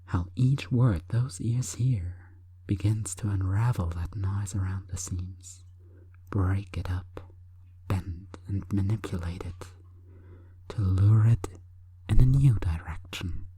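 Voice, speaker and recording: Very low, breathy whispering woman hypnotist. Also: warm tone, dry recording. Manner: slow, deliberate, coaxing. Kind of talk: whispered sleep hypnosis, intimate monologue.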